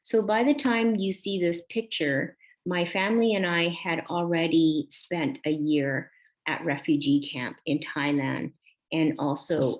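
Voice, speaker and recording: A woman in her forties, born in Vietnam, giving a reflective conference talk built on a personal story, pausing often with soft fillers. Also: low-pitched, rough recording. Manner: slow, reflective, with frequent ums. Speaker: conference speaker.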